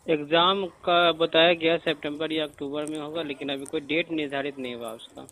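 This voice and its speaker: Slightly high-voiced man on a recorded call. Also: steady tone, moderate pace. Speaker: office staffer answering an enquiry call. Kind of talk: brief phone replies. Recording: quiet recorded phone call, faint noise.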